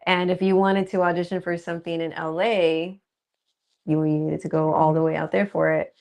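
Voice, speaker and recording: A woman with a soft voice, a guest actress chatting casually and laughing often. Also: lowish voice, little pitch movement, moderate pace, gravelly. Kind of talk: casual interview chat.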